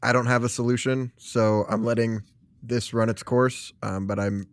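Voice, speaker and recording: A man playing a concerned, resigned school administrator, speaking frankly with some hesitant pauses in a role-play conversation. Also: medium pitch, fairly even intonation, no echo, close to an everyday voice.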